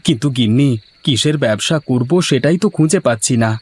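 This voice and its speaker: A man playing an excitable, cheerful village husband and food seller in a cartoon folk tale, with exclamatory, folksy speech. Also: mid-range voice, moderate pace, breathy edge, lightly acted. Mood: puzzled. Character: cheerful, excitable village husband turned rice-hotel owner.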